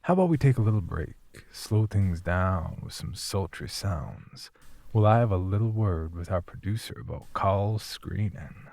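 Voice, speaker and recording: An actor playing a flirtatious late-night radio DJ, smooth-talking and seductive, with teasing, breathy half-whispers. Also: male voice, deep voice, animated, normal speed, darkish tone, no room sound. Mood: sultry, teasing.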